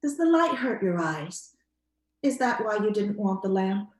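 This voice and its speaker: An actress playing a matronly sister in an old-time radio style ghost drama. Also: female voice, mid-range voice, very animated, measured pace, reverberant. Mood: uneasy. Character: Mrs. Brigham, placid matronly sister turning horrified.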